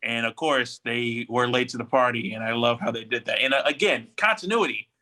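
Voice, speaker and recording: A man's voice: medium pitch, brisk, pushed and bright. A man co-hosting a pop culture podcast, chatting in an informal, slangy way. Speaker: podcast co-host. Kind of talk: casual pop culture discussion.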